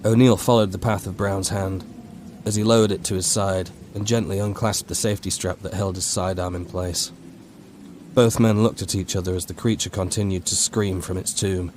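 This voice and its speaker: A man reading a scripted horror audiobook as narrator, in a measured, dramatic storytelling manner. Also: low voice, darkish tone, a little hiss or hum.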